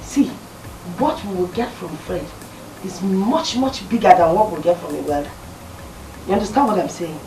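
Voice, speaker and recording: A woman playing a scheming mother who persuades in a low, conspiratorial way. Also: normal speed, dark tone, slight background noise, lightly acted. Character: scheming mother pushing a rich match. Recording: roomy, distant, music underneath.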